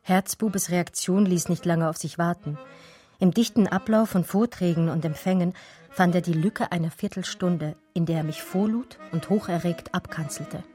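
Actress as a learned lady art historian telling her story, lowish mellow voice, radio play narration. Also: soft background music, natural voice. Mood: reflective narrating. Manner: measured, eloquent, scholarly. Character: educated German art historian recounting her love story. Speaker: narrator and lead character. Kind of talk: literary radio drama narration.

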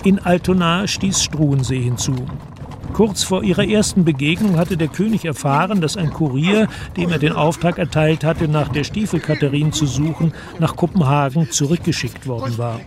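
A man reading scripted literary narration for a radio play in a measured, matter-of-fact way. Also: fairly high pitch, noisy background.

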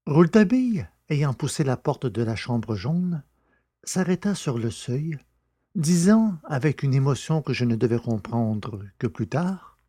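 A man's voice: mid-range voice, sing-song, unhurried, dark tone, no room sound, studio quality. A man reading scripted literary narration as the narrator of an audiobook.

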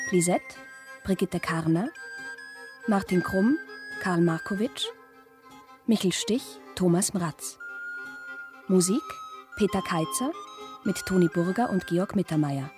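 Woman announcing radio credits over music. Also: on the low side.

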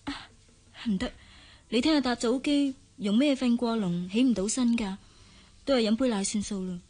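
An actress with a Hong Kong Cantonese accent playing a gentle, caring, clinging young fiancée in a radio drama. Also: female voice, on the high side, normal speed, natural voice.

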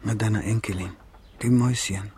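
An actor in a German radio play playing a proud, defensive, troubled middle-aged father with a rough, raspy voice. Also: on the low side, fairly even intonation, fairly quick, a little mellow, slight background noise, lightly acted. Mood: casual, probing.

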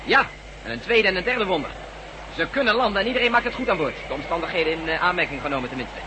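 Radio-play operator, brisk, unflappable, rapid delivery on a noisy recording. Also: male voice, high-pitched, animated. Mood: reporting. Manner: brisk, matter-of-fact. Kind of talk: Dutch radio drama acting.

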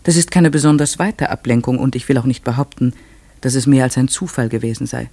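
A man's voice: medium pitch, steady tone, a little mellow, no echo, lightly acted. Casting: actor as reflective schoolteacher narrator, mid-range male, careful literary phrasing, dry studio sound. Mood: measured, reflective. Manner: measured, precise, reflective. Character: male village schoolteacher narrator recalling strange events, measured and reflective. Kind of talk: literary radio-play narration in German.

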